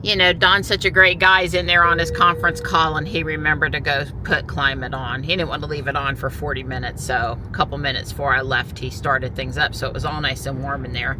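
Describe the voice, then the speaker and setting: A woman's voice: deep voice, level tone, brisk, hardly any pauses, background noise. A middle-aged woman with a stuffy nose chatting casually through her day as a vlogger, in her car and out in the yard. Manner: chatty, talks to herself and pets. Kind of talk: casual vlog narration.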